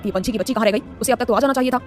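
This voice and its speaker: A woman's voice: on the high side, rapid-fire, faint music behind, lightly acted. A woman voicing a haughty, irritable young businessman in an audio story, with an emphatic, defensive, scolding manner. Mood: irritated, suspicious. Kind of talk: dramatised audio story dialogue.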